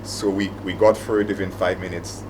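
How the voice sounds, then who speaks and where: Middle-aged male panelist, Saint Lucian accent, very low voice, conversational and anecdotal, clear background noise. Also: flat delivery, fairly quick. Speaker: panelist, aviation operations manager. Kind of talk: reflective panel talk with anecdotes.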